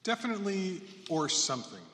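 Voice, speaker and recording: A man playing a calm minister who asks probing questions, heard from a distance. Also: sits high, a little fast. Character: calm male minister counseling a client. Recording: distant echoey hall.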